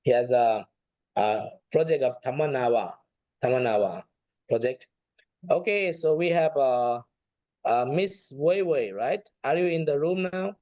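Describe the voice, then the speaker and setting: A man's voice: medium pitch, lively intonation, measured pace, dark tone, dry recording. A man teaching an informal online class as a Buddhist monk, speaking hesitantly and often repeating phrases. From Nepal.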